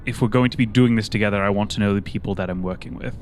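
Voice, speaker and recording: A man's voice: lowish voice, steady tone, rapid-fire, slight background noise, lightly acted. A man playing an earnest, polite shadowrunner in a tabletop game, speaking in a formal, slightly hesitant and fussy way. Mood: probing, earnest. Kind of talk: improvised tabletop roleplay.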